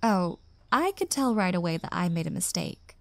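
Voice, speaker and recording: A woman's voice: fairly low pitch, animated, normal speed, creaky, dry recording. A woman playing an exasperated single young woman venting about a bad date in an intimate audio roleplay, with sarcastic asides. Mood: bitter, venting. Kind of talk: roleplay audio drama, intimate conversational monologue.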